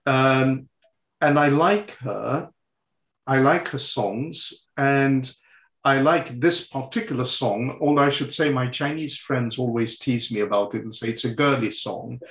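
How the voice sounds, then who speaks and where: A man in his sixties with French as his first language is being interviewed. He talks slowly and hesitantly, with plenty of ums and restarts. The sound is roomy and echoey. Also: mid-range voice, a bit bright. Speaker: interview guest. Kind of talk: relaxed conversational interview.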